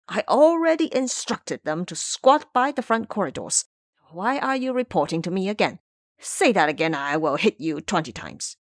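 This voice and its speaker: A woman's voice: medium pitch, sing-song, moderate pace, no echo, studio quality. A woman voicing a fearful, grumbling old male abbot in an audio drama, bossy and querulous with his underlings. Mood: scolding. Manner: querulous, scolding.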